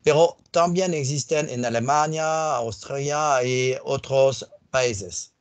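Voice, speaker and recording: A man speaking Spanish as a second language with a foreign accent, presenting a technical webinar in a slow, halting way with frequent fillers. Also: mid-range voice, no echo. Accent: non-native Spanish. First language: not Spanish.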